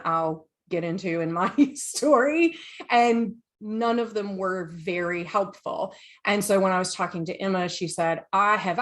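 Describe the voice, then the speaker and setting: A woman from the United States hosting a podcast, chatting enthusiastically and sometimes reading from her notes. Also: medium pitch. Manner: chatty, enthusiastic, lively asides. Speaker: podcast host.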